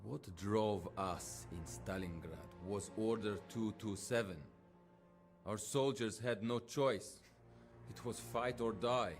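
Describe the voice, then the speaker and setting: An actor playing a disillusioned, haunted Soviet lieutenant in a game cutscene, with a bitter, weary manner. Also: male voice, on the low side, takes it slowly, soft background music. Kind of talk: dramatic game cutscene dialogue.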